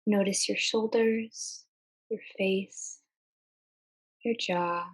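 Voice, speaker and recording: Female meditation guide, mid-range voice, very slow and gentle delivery with long pauses. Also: medium pitch, steady tone, breathy edge, poor audio. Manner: gentle, unhurried, with long pauses between short phrases.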